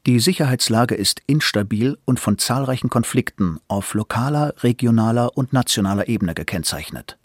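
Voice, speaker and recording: A man reads an official notice in a formal scripted style. His pitch is average. The sound is close and dry, with no room. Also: animated, normal speed, few pauses, no echo. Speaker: announcer reading an official notice.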